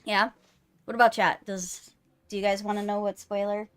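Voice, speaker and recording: A woman chatting casually on a live game stream, playful, teasing and quick to swear, with music behind her. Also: medium pitch, flat delivery, a bit bright, clean tone. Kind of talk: casual banter while live streaming a visual novel.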